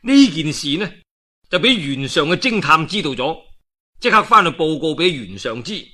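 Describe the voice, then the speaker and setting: A man's voice: on the high side, big pitch swings, normal speed, pushed and bright. A male storyteller with a Cantonese accent, narrating a historical novel in a colloquial, dramatic oral style. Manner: colloquial, dramatic delivery with interjections.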